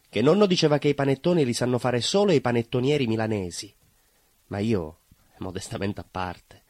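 An actor playing a simple, proud working-class baker in a stage monologue, earnest and conversational, with a southern Italian accent. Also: male voice, mid-range voice, a little mellow, no echo. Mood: wry, grumbling. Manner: naive, earnest, emphatic, conversational. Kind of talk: theatrical monologue, colloquial storytelling.

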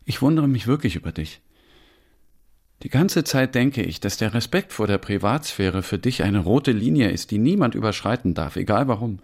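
A man's voice: lowish voice, lively intonation, moderate pace, darkish tone. An actor playing an earnest, weary male newcomer in a naturalistic radio drama. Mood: reproachful. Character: Taha, weary, questioning male newcomer to Germany.

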